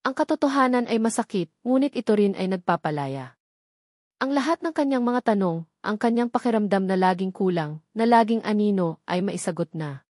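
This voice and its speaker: A woman reading a scripted dramatic story in a measured, solemn storytelling manner. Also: medium pitch, animated, darkish tone, dry recording, clean studio sound. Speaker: story narrator.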